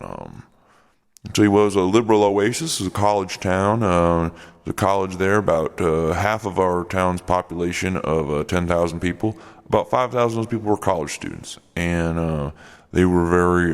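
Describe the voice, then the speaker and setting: A man voicing a gruff, defensive road comic and secret werebear, rambling and halting in an improvised comedy radio play. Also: low voice. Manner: halting, rambling, irritable drawl with malapropisms. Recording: long reverberant echo.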